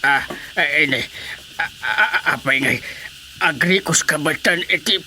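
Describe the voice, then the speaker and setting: Doddery old ilocano grandpa, rambles and stutters real slow, radio comedy, bit of background noise. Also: male voice, a little high, sharp tone. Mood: flustered, faltering. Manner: halting, stammering, chuckling. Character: feeble, confused, rambling old village man. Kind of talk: radio comedy-drama acting.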